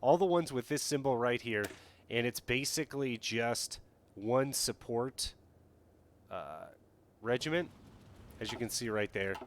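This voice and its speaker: A man's voice: mid-range voice, measured pace, long pauses, slight background noise. A man streaming a strategy game live, talking casually and thinking aloud as he plays. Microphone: headset.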